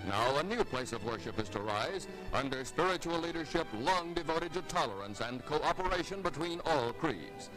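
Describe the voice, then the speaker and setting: A man's voice: fairly high pitch, expressive, normal speed, hardly any pauses, low-quality recording. American newsreel man over music. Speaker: newsreel narrator. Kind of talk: formal scripted 1950s newsreel narration. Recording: vintage newsreel soundtrack with music behind.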